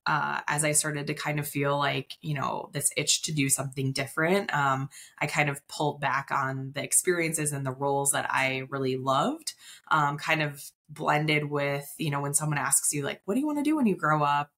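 A woman's voice: deep voice, normal speed, fairly bright tone. A woman. She explains things in a chatty, conversational way, as a guest on a casual interview podcast. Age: 30s. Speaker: interview guest, wedding planner. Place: indoor room on video call.

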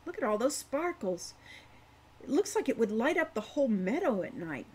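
A woman voicing an excitable, playful twelve-year-old girl in an audiobook, with a gleeful, exclaiming manner. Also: a little high, moderate pace, husky. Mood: curious, eager.

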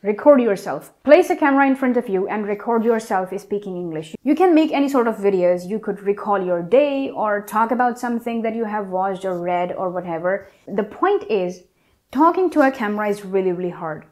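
A young woman whose first language is Urdu talks straight to camera, explaining tips with earnest emphasis and lively hand gestures. Her voice sits in a middle range for a woman. The sound is close and clean from a clip-on mic in a room at home. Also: medium pitch, a little fast. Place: indoor room with curtains. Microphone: lapel mic.

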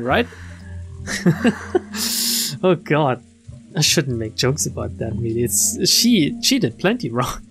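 Expressive streamer with a lively, swooping mid-pitched voice. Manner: expressive reading broken by joking commentary. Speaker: streamer reading a visual novel aloud. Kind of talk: let's play read-aloud with casual asides.

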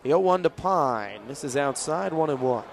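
Young guy calling a baseball game for radio, voice a little high, faint ballpark noise behind. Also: expressive, moderate pace, vocal fry. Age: young adult. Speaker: play-by-play announcer.

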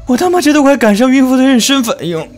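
Radio drama: cocky young man held captive, brash, exclamatory, very animated delivery, played by a male actor. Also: very high voice, fast, faint music behind. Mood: sarcastic, irritated. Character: young man captive, cocky, foul-mouthed, sarcastic, defiant.

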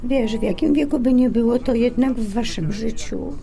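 A blunt, caring Majka. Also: female voice, fairly high pitch, normal speed, vocal fry, mellow. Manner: blunt and teasing, scolds and probes. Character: frank, bossy, caring woman, Majka. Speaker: Polish wife cooking pierogi. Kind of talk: audio drama acting.

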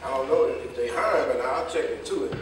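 A man's voice: mid-range voice, brisk, natural voice. A man playing a no-nonsense, curt American boss of a cab station in a staged play reading. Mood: preoccupied, gruff. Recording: echoey stage, distant mic, faint noise.

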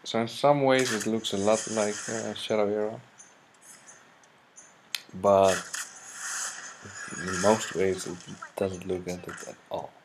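A man's voice: on the low side, steady tone. Man, Dutch accent, young adult, gameplay commentary, hesitant, noisy recording. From Netherlands. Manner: hesitant, self-deprecating, halting English. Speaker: gaming channel host. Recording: noisy home mic recording.

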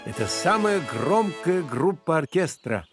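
Courtly bug maestro for kids cartoon, unhurried n expressive, explains stuff grandly, music behind. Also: male voice, a little high. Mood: explanatory, grand. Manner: theatrical, measured, explanatory. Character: courtly grown-up male grasshopper maestro guiding children through music. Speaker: guide and teacher. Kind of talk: animated educational storytelling.

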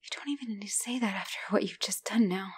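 A woman playing a battle-hardened but tender, devoted female knight in a romantic ASMR roleplay, speaking in a breathy, hushed, emotional way. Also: medium pitch, fast talker, close to an everyday voice. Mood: weary, uneasy.

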